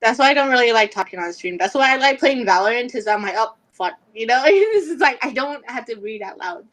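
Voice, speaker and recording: A woman's voice: on the high side, expressive, fairly quick, a bit bright. A young woman from California, whose first language was Vietnamese, chatting hesitantly on a gaming podcast with frequent fillers and giggles. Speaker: podcast guest and streamer. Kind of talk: casual chat.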